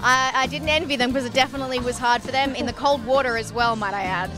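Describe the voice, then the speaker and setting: A woman's voice: high voice, fairly even intonation, fast. A young American woman, an actress and festival advisor, answering politely and with enthusiasm in an outdoor red carpet interview. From United States. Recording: music playing behind.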